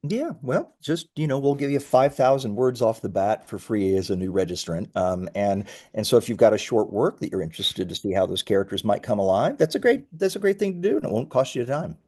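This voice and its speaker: A man speaking as a company founder and interview guest, informally pitching his work in an easygoing, halting way with friendly asides. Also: very animated, a little mellow. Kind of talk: casual conversational pitch.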